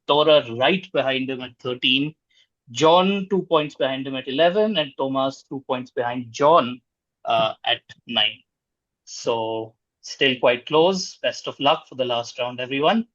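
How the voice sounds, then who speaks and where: A man's voice: medium pitch, fairly bright tone, no room sound. A man acting as quiz master, reading out questions and scoring answers over a dull-sounding online call.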